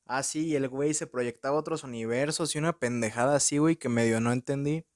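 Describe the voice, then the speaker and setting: A man's voice: mid-range voice, fast, no echo, clean studio sound. A young Mexican man with a Mexican accent, casually reviewing a movie at home in slangy, profane talk full of filler words. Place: room at home in front of a window.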